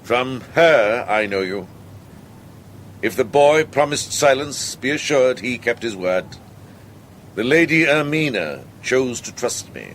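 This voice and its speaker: An actor playing a kindly, worldly old medieval monk in a radio drama, measured and gently probing. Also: male voice, mid-range voice, lively intonation, slight background noise. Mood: reassuring. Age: older.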